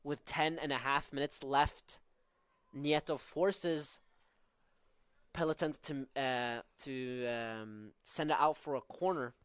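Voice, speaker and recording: A man's voice: mid-range voice, fairly even intonation, slow, a bit bright, smooth, no echo. A young man from southern Norway with a Norwegian accent, calling live college soccer with tactical analysis and frequent fillers. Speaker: play-by-play commentator.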